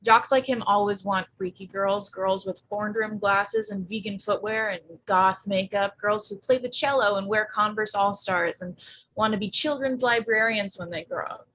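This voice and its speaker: A woman playing a wry, quick-witted teenage girl in a table read, with a deadpan, sarcastic delivery. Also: mid-range voice, natural voice, rough recording. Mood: sardonic. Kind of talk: live table read acting.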